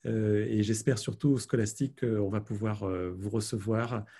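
A middle-aged man hosts a webcam interview, courteous and hesitant. His voice is low, dark and gravelly, with a husky edge. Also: fairly even intonation, moderate pace. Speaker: interviewer host. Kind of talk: polite interview. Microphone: video call webcam.

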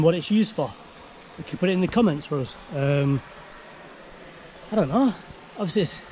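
Young Northern English guy, upbeat vlogger, slightly higher voice, canal-side action cam with steady background noise. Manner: excited, laughing, many fillers. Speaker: magnet fishing vlogger. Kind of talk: casual outdoor commentary. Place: outdoors by a canal under a bridge. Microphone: action camera. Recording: outdoor camera audio with background noise.

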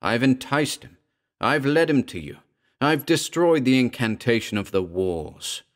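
A man reading as a sharp, imperious demon summoner. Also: medium pitch, expressive, a little slow, voice pushed up. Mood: urgent. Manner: sharp, austere, commanding. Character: imperious demon invoker, a boy in disguise.

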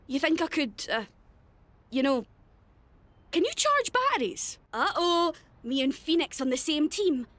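A woman's voice: high-pitched, fairly bright tone, no room sound, exaggerated voice. A woman with a Scottish accent voicing a cheeky, playful, irreverent young immortal fighter for a video game. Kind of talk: video game character voice lines.

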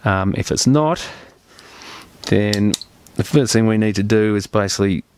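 Low-voiced man giving a practical repair demonstration. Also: measured pace, a little mellow, a little hiss or hum. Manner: plain-spoken, practical, step-by-step explaining. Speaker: repair technician presenting a tutorial. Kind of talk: unscripted hands-on repair demonstration.

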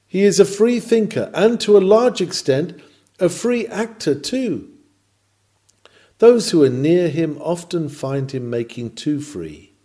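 Solemn man delivering a sermon, mellow voice. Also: on the high side. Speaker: reader of a historical sermon. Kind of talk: sermon, read from a script with oratorical delivery.

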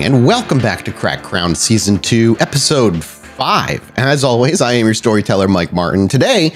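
A man hosting and narrating a tabletop roleplaying game, often halting and correcting himself as he goes. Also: medium pitch. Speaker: podcast host and game storyteller.

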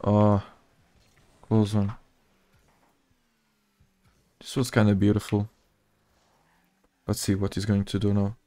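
A man with a Portuguese accent, a native Portuguese speaker, giving casual gameplay commentary in low-key asides to himself. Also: monotone, slow, long pauses, mellow, dry recording. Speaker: gaming video creator.

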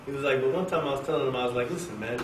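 A man from Oklahoma giving an informal Quran class to an audience in a mosque, talking in a jokey, animated way and turning to people in the room. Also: mid-range voice, racing. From Oklahoma, USA. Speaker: Quran teacher. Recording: echoey room, distant mic, faint hiss.

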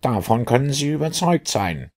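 A man voicing a practical, impatient male police inspector with brisk, matter-of-fact delivery in an audiobook. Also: medium pitch, very animated, darkish tone. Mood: impressed, curious. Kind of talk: audiobook character dialogue.

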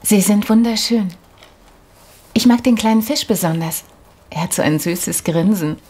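A woman's voice: mid-range voice, unhurried, dark tone, slightly rough. Meek, polite female patient. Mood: politely pleased. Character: timid, polite, easily startled female therapy patient. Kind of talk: audio drama acting.

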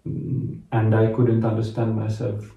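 A man, telling his personal story in an interview, haltingly searching for words. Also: lowish voice, monotone, vocal fry, warm tone, far from the mic, lo-fi sound. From Finland. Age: late 30s. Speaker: interview guest, actor. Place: small home bedroom, indoors. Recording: roomy echo, off the mic.

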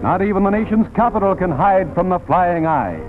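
Male American newsreel narrator, 1940s: dramatic, higher-pitched, swooping delivery over music on a tinny old soundtrack. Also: animated, a little fast. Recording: tinny vintage film soundtrack, music behind.

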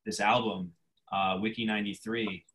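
A man's voice: on the low side, level tone, fairly bright tone. A man from New York with a New York accent, telling a story eagerly on a video call. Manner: eager storyteller, quick bursts. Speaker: challenge creator guest. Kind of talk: casual video call chat.

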